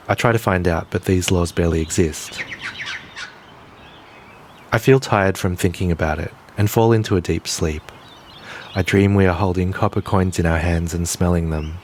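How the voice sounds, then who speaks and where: A man with a very low voice reads literary fiction in a measured, quiet way. Clear background noise sits behind his voice. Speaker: writer reading own short story. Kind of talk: literary short story reading.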